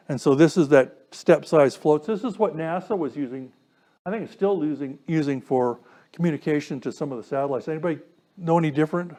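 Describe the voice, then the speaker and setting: A man's voice: a little high, darkish tone. A man presenting an informal technical lecture, explaining step by step and putting questions to his listeners. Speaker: presenter giving a technical talk to a radio club.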